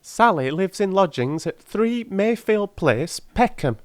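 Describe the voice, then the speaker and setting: High-pitched, garrulous old London crone. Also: male voice, lively intonation, slow pace, dry recording, clean studio sound. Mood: guarded, garrulous. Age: older. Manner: mumbling, rambling, effusively grateful. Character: mumbling old working-class London crone, garrulous, wheedling.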